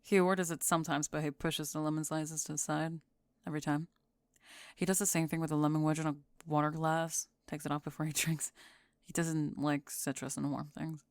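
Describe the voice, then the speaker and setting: A young woman acting a deadpan, sardonic, guarded high school goth girl in an ASMR roleplay. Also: fairly quick, clear, resonant tone, dry recording. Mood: guarded, defensive. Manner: dry, deadpan, pointed.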